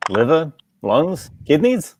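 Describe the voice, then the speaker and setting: A nervous, sickly lodger played by a woman frets in a low voice that wavers widely. The stage-play reading has clear noise and clicks behind it. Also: very animated, takes it slowly, heavily acted. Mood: fretful. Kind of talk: cold table read of a stage play.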